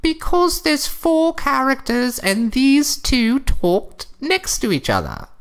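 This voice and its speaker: A woman's voice: sits high, big pitch swings, takes it slowly, clear, resonant tone, full character voice. A woman playing a snooty, fussy older wife in a comic story reading, with a prim, theatrical, condescending delivery. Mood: impatient.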